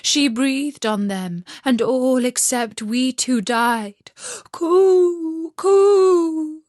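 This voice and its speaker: Casting: female reader for a plaintive wood pigeon in a storybook, high, slow, cooing, recorded dry. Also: darkish tone, dry recording. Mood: plaintive, eerie. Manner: drawn-out cooing cries.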